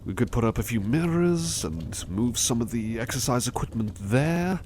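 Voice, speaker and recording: A man's voice: medium pitch, sing-song, a little mellow, slight background noise, close to an everyday voice. A man playing an overworked, affectionate butler in an intimate ASMR roleplay, speaking politely and hesitantly. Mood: musing, relaxed. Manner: hesitant, halting, polite old-fashioned phrasing, affectionate. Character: overworked, devoted, weary butler resting affectionately on partner's lap.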